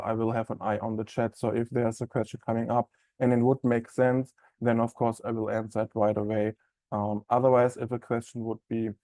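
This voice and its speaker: German-accented man giving a software webinar. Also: mid-range voice, no echo. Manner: friendly, conversational, frequent ums and uhs. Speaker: software implementation specialist presenting a webinar. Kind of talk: live product webinar presentation.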